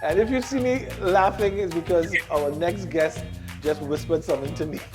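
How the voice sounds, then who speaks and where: A man's voice: fairly high pitch, normal speed, over loud music. A man hosting a talk show, chatting in an easygoing way with plenty of fillers. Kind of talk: casual interview chat.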